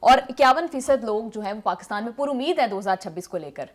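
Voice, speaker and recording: A woman's voice: a little high, lively intonation, quick pace. A woman with a Pakistani accent hosting a TV current affairs discussion, affirming and putting questions, with some English mixed in. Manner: attentive, echoes and affirms the guest, mixes in English. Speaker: TV talk show host.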